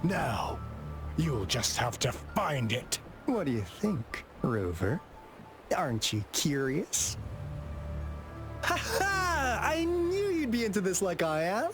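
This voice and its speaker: An actor playing a roguish, curious young investigator in a video game, with a theatrical, teasing, breezy manner. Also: male voice, high-pitched, wildly swooping pitch, slow pace, exaggerated voice. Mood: teasing, intrigued. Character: roguish, curious young male treasure-hunting investigator, playful and cocky. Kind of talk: animated video game voice acting. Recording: game music under the voice.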